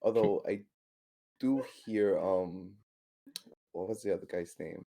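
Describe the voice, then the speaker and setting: A man playing a tabletop roleplaying game, chatting casually and describing his moves in a halting, hesitant way. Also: low voice, vocal fry, mellow.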